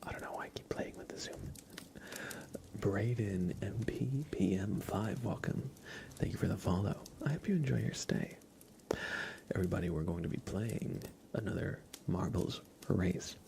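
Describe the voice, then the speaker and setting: Whispering man, low and slow. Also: creaky, dark tone. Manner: mock-dramatic game announcing. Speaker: live stream host. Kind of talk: ASMR live stream commentary, mostly whispered.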